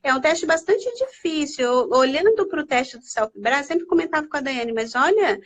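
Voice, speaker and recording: A woman's voice: high-pitched, expressive, moderate pace. A Brazilian woman teacher moderating a live video talk, chatty, handing questions to her colleague. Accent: Brazilian Portuguese. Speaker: Portuguese language teacher and moderator.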